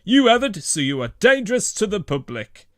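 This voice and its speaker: A man's voice: sits high, very animated, normal speed, no echo, clean studio sound, voice pushed up. A man voicing a cross, stern, officious policeman in a children's storybook. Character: cross, stern, red-faced male policeman. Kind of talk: children's storybook character reading.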